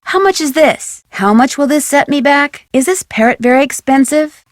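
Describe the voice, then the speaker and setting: A young American woman sleuth in a video game. Her voice sits fairly high and is recorded very dry. She delivers short scripted lines clearly, with a polite, upbeat, inquisitive manner. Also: lively intonation, normal speed, no room sound. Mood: curious. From United States. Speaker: video game character voice actor.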